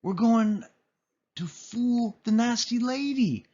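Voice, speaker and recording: A man's voice: very high-pitched, darkish tone, highs cut off, pitched above the performer's own voice, full character voice. A man voicing small mischievous Dalmatian puppies in a whiny, childish storybook voice. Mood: gleeful. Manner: whiny, drawn-out little-kid delivery.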